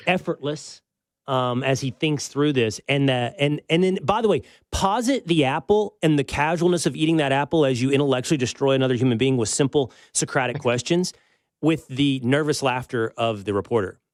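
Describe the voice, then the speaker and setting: A man with an American accent hosting a talk show, giving conversational, emphatic political commentary with pauses for effect. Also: mid-range voice, no room sound, clean studio sound. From United States.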